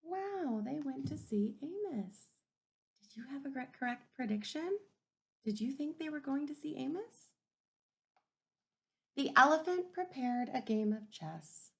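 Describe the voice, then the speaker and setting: A woman teacher reading a picture book aloud to young children, thinking out loud and prompting them with questions. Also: high-pitched, expressive, measured pace, vocal fry, long pauses.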